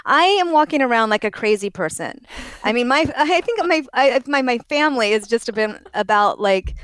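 A woman's voice: on the high side, fairly bright tone, smooth, no echo. A woman from Oregon with a very young-sounding voice, talking as an interview guest in a rambling, self-correcting way and laughing often. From Oregon, USA. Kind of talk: casual interview conversation.

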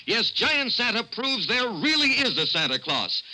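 Radio ad guy, super sharp bright voice, swoops around a lot, pushy mail order pitch. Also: sing-song, measured pace, rough recording. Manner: emphatic, exclamatory sales pitch. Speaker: mail-order advertisement announcer. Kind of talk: hard-sell scripted radio ad.

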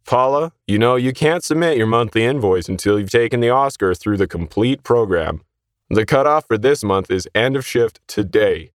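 A man's voice: mid-range voice, lively intonation, moderate pace, no echo, clean studio sound, voice pushed up, close to an everyday voice. A man voicing a smug, pushy male shift manager, condescending and insistent, in an audio story. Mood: threatening.

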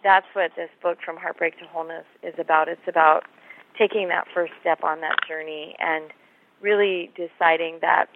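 A woman author, slightly hoarse, talking earnestly and reassuringly about her book in an interview. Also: on the low side, steady tone, normal speed, noisy background. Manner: earnest, reassuring, repeats words. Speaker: author and guest. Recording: phone line audio.

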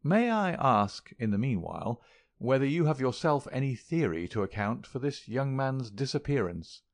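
Composed gentleman sleuth, measured and polite, gravelly edge as a man reads him. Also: medium pitch, very animated, no echo, pitched above the performer's own voice. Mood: courteous, probing. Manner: measured, courteous, quietly probing. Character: calm, keen gentleman detective, man.

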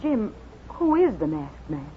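An earnest young frontier daughter. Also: female voice, lowish voice, big pitch swings, a little slow. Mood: grateful, anxious. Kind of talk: 1950s radio western acting. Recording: tinny, noisy 1950s radio recording.

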